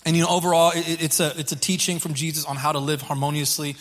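A man preaching as a pastor to his congregation in an informal, halting way, repeating phrases, with a slightly hoarse voice. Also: on the high side, little pitch movement, a bit bright. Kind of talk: sermon to a congregation. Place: church service.

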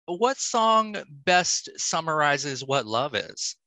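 Bright, crisp-miked man hosting an interview. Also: fairly high pitch, big pitch swings, slow, no room sound. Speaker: podcast host, interviewer. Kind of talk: casual interview questions.

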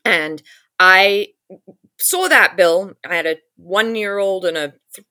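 A woman's voice: medium pitch, slow, pushed and bright, no room sound. A woman in her thirties from England with an English accent, telling her story in an interview and acting out her past thoughts in quotes. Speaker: advocacy group founder.

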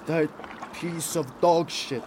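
A hesitant, literal-minded man in a comic audio drama, his voice a little high. Faint water and boat sounds sit behind him. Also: fairly even intonation, takes it slowly, a little mellow, a little hiss or hum. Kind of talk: audio fiction comedy acting.

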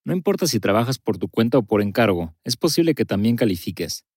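Low-voiced male sponsor announcer. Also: quick pace, no room sound, clean studio sound. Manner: steady, clear promotional delivery. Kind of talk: scripted ad read.

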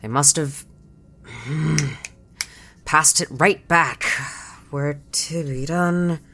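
An actress playing a weary, scattered moon base worker who speaks haltingly and repeats words to herself, in an audio drama. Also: female voice, deep voice, noisy background. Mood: distracted, strained.

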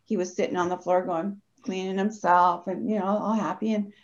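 A woman talking as a podcast guest, telling stories in a chatty, animated way. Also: on the low side, normal speed. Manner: chatty, animated anecdote teller. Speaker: guest, energy healer. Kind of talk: casual podcast interview storytelling. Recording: dull lo-fi audio.

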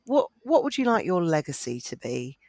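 An interview co-host sets up questions with slow, deliberate care and praises the guest effusively. The voice is recorded close and dry, with no room sound around it. The pitch sits in an ordinary middle range. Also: sing-song, darkish tone, no room sound. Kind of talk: podcast interview.

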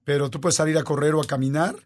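A man's voice: on the high side, steady tone, quick pace. A man with a Mexican Spanish accent, hosting a conversation and talking earnestly and animatedly. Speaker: host. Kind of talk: casual conversation.

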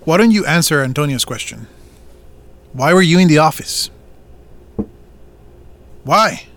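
An actor playing a firm man who co-runs a family farm, confronting someone in a measured, serious way in an audio drama. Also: a little high, expressive, a bit bright.

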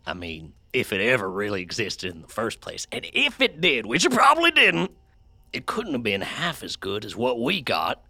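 An actor playing a folksy, skeptical working man in an audio drama, rambling colloquially and conspiratorially in a gravelly voice. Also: medium pitch, wildly swooping pitch, normal speed, sharp tone, exaggerated voice. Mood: musing, grumbling.

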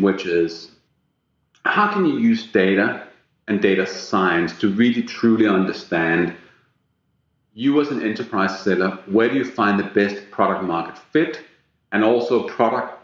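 A man's voice: lowish voice, expressive, a little slow, roomy sound, muffled recording. A man whose first language is Danish, a guest executive talking earnestly and emphatically about enterprise sales in a business interview.

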